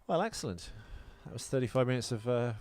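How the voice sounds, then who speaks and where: A man's voice: medium pitch, lively intonation, fast talker, a little mellow, soft background music. A British man co-hosting a podcast, chatting casually and unscripted in a rambling, hesitant, self-deprecating way with some swearing. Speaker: podcast co-host.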